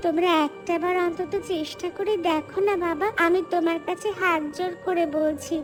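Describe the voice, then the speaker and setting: Woman doing earnest cartoon village girl, super high voice, pleading and stubborn, light music under it. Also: fairly even intonation, moderate pace. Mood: pleading, determined. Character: earnest, determined young village daughter. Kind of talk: Bengali cartoon dialogue acting.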